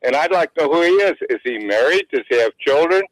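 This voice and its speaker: A man in his eighties calling in to a radio show, talking casually and haltingly, often repeating words. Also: on the high side, lively intonation, no room sound. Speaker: caller. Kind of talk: casual phone-in talk. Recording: phone call on radio.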